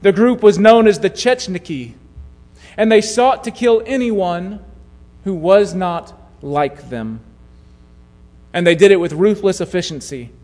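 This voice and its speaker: A man preaching a sermon, telling a story in a measured way that drops to a hush at key moments. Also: high-pitched, lively intonation. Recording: long hall reverb.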